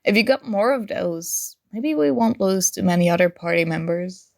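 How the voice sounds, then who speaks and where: A woman acting as a nerdy young woman in an ASMR roleplay, talking casually to her crush. She is teasing and animated, at a middling pitch with a noticeable creak in the voice. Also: normal speed, lightly acted. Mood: friendly, chatty.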